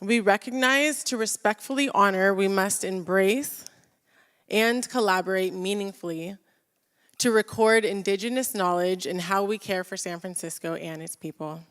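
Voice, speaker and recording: Woman opening an event, formal n measured, big echoey hall. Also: medium pitch, fairly bright tone. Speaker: event introducer. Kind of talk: formal read land acknowledgment and introduction. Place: large reverberant venue. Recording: long hall reverb.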